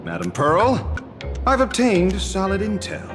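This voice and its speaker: A man voicing a sly, calculating corporate schemer in a video game, speaking theatrically and smoothly. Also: high voice, huge pitch swings, unhurried, exaggerated voice. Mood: sly, scheming. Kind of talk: dramatic video game voice acting. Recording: long reverb, music behind.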